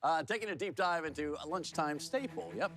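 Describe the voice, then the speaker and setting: A man's voice: fairly high pitch, fairly quick. A man anchoring TV news in a casual, playful, folksy style, full of jokey asides. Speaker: TV news anchor and feature reporter.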